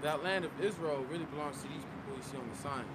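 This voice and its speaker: A man with a raised, high voice reads scripture emphatically on a street corner and quizzes his listeners. Passing traffic is clearly heard around him. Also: quick pace. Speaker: street preacher reading scripture. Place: outdoors on a city street.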